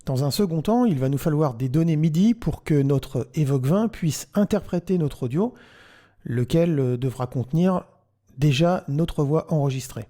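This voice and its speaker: A man narrating a software tutorial, explaining things step by step as the host. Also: a little high, animated, moderate pace.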